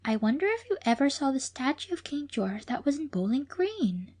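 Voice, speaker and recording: A woman's voice: sits high, very animated, moderate pace, a little mellow, pitched above the performer's own voice. A woman voicing a shy little girl of about nine who asks questions politely and with some hesitation. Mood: hesitant.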